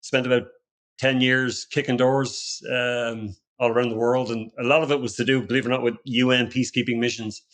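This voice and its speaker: A man's voice: mid-range voice, little pitch movement, brisk, fairly bright tone. A middle-aged Irish man from Ireland, a company founder talking as a podcast guest in a casual, conversational way with many restarts and fillers. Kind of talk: casual interview talk.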